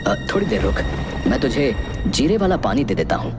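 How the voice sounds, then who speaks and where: A man voicing a concerned, questioning young farmer and big brother in an animated story. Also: medium pitch, fairly quick, over music, natural voice. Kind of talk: Hindi animated fairy tale acting.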